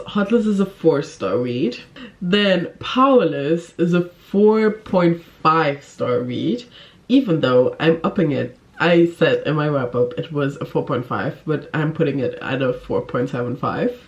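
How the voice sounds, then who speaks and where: A woman chatting casually to camera as a book vlogger, with an informal, giggly, effusive manner. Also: low-pitched.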